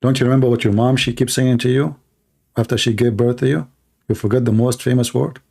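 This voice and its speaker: A man's voice: mid-range voice, fairly even intonation, a little fast, vocal fry, darkish tone, breathy edge. A man with an Arabic accent hosting a debate livestream, sarcastic and combative. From Middle East. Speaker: host.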